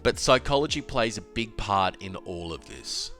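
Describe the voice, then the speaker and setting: A man's voice: lowish voice, normal speed, soft background music. Congested man talking trading, stuffy nose. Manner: animated, emphatic, with filler and restarts. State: congested, slightly nasal. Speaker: crypto trading educator. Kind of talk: casual solo video talk.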